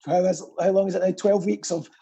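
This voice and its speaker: A middle-aged British man hosts a live quiz in a husky voice. The tone is mellow, and he banters casually, repeating phrases theatrically for comic emphasis. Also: high-pitched, fairly even intonation, a little fast, soft background music. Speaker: quiz co-host and pantomime dame performer.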